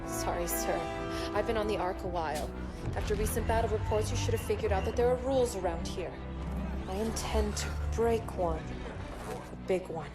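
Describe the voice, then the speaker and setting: A woman's voice: mid-range voice, moderate pace, natural voice. A woman voicing a wry, defiant and intense female artificial intelligence in a video game cutscene. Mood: determined. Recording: loud cinematic score behind dialogue. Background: loud music.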